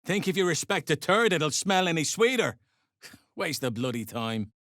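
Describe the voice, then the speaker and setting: Greedy dwarf slave-driver, gruff and sweary, fairly high male pitch, clipped, sarcastic, fantasy game. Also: big pitch swings, normal speed, a bit bright, dry recording, studio quality. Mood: scornful. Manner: coarse, clipped, bossy, sarcastic. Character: gruff, greedy, foul-mouthed duergar dwarf overseer. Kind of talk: video game character acting.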